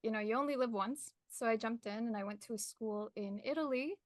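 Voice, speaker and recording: A woman's voice: on the high side, a little fast, dry recording, studio quality. Young woman with an American accent. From Colombia. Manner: friendly, laughs easily. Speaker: artist guest. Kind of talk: casual interview chat. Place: her art studio.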